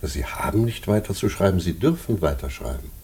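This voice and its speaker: An actor in a German radio play playing a cold, pedantic prison director with a dark, husky voice and formal manner. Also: male voice, sits low, expressive, brisk, heavily acted.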